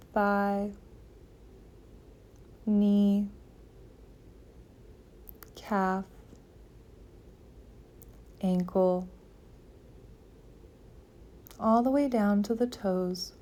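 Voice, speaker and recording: A woman leading a guided meditation, giving calm, gentle, soothing instructions with long pauses between phrases. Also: mid-range voice. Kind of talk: guided yoga nidra meditation.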